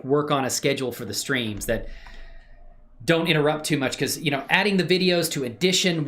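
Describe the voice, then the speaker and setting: Animated, low-voiced woman streamer. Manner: friendly, exclamatory, chatting to viewers. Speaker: live streamer hosting own channel. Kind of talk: casual livestream chat. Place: indoors at a computer.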